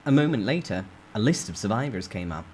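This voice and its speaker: A man's voice: lowish voice, sing-song, brisk, a little mellow, slight background noise. A man reading a first-person story aloud as a dramatic storytime narration.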